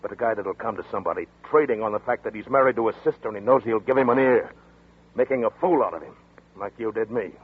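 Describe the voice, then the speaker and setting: An actor playing a tough, contemptuous detective with a husky, clipped delivery in a 1940s radio drama. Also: male voice, lowish voice, fast talker. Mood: angry, contemptuous. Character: hard-nosed tough detective brother-in-law. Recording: tinny lo-fi 1940s radio broadcast.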